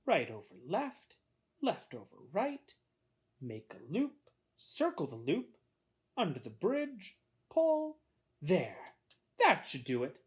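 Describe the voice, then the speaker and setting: Woman as a plaintive, high-pitched little boy. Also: very animated, takes it slowly, heavily acted. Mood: determined, then dismayed. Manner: plaintive, exclaiming, dramatic. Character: exasperated little boy plagued by untied shoelaces.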